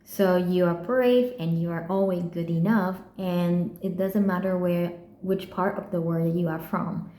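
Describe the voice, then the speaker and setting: Soft, slow Vietnamese woman vlogging. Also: fairly low pitch, fairly even intonation, roomy sound, a little hiss or hum. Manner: soft-spoken, halting, many restarts and self-corrections. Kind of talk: reflective personal vlog.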